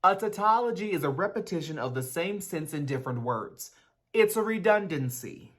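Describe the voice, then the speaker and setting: A woman's voice: on the low side, lively intonation, moderate pace. A woman playing a brainy, awkward schoolboy in a dramatic reading, speaking formally and pedantically. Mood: formal, matter-of-fact. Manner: pedantic, precise, formal wording.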